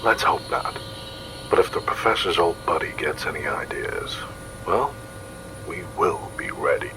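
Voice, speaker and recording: An actor playing a brainy, articulate young student scientist in an audio drama, precise and explanatory. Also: male voice, rumbling low, measured pace, background noise. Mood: wry.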